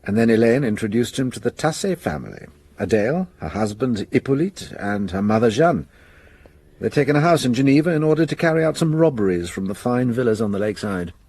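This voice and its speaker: An actor playing a shrewd middle-aged police detective, laying out his reasoning in a measured way. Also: medium pitch, sing-song, a little mellow, slightly rough. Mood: explaining. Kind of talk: radio drama acting.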